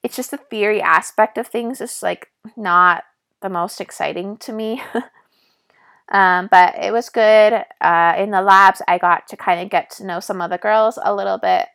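A young woman from Canada with a raspy voice, a nursing student casually telling her story in a vlog with lots of fillers and asides. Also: mid-range voice, fairly even intonation, moderate pace, a bit bright. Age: young adult.

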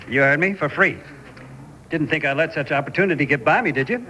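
An actor playing a cocky American ex-convict drifter with a folksy, insinuating manner, in a radio drama. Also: male voice, a little high, normal speed, pushed and bright, slight background noise, poor audio. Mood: smug, menacing.

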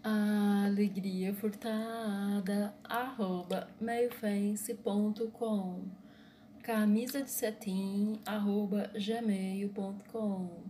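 A Brazilian woman whose first language is Portuguese, reading her commentary aloud in short, deliberate phrases. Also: mid-range voice, steady tone, warm tone, slight background noise. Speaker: blogger.

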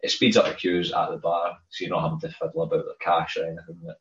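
A man's voice: low-pitched, monotone, fast. A Scottish man co-hosting a podcast, chatting casually and rambling, often repeating words as he starts a thought. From Scotland. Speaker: podcast co-host. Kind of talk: casual chat. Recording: roomy dull lo-fi sound.

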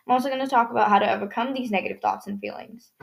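A teenage girl hosting her own podcast in a rambling casual chat, her voice hoarse and scratchy from overuse. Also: on the high side, steady tone, rapid-fire. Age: teens. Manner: rambling, many fillers and restarts. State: hoarse, strained voice. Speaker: podcast host.

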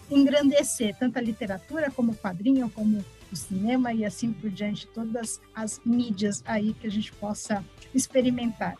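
A woman's voice: fairly high pitch, a little mellow, husky. A woman with a Brazilian accent giving casual, chatty and encouraging answers as an interview guest. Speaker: interview guest, author and art teacher.

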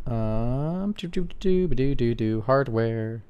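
A man chatting casually, easygoing, reacting with quick interjections. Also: mid-range voice, expressive, clear, resonant tone, muffled recording. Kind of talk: casual chat.

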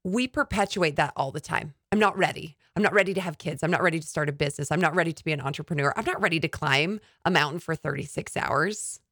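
A woman hosts a podcast with a fairly low voice and a dry, close sound. She speaks enthusiastically and draws out her guest with questions. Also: quick pace, hardly any pauses, a bit bright, no echo. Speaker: podcast host. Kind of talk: podcast interview.

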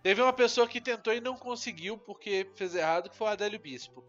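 A man's voice: high-pitched, fast, fairly bright tone, muffled recording, soft background music. A young man, cracking crude, teasing jokes in casual podcast banter. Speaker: podcast co-host. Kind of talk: casual joking chat.

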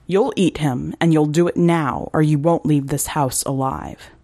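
Female reader as menacing male wolf for a short story: low, growling, controlling, unhurried. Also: dark tone, no echo. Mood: cold, demanding. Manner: threatening, coldly commanding. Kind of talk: dramatic short story reading.

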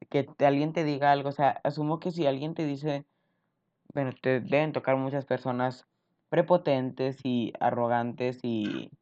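A young man hosting a podcast, reacting in a casual, expressive and colloquial way. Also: fairly high pitch, smooth. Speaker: podcast host. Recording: dull, lo-fi sound.

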